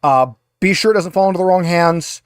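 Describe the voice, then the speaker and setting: A man's voice: high voice, a little fast, clean tone, no echo, studio quality. A man voicing a desperate male military major on a last stand, stammering and repeating urgent orders.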